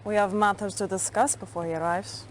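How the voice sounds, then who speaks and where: An actress playing a cool, ruthless Soviet intelligence chief in a video game cutscene, composed and controlled. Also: female voice, mid-range voice, fairly even intonation, brisk, a little hiss or hum, natural voice. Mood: cool, polite.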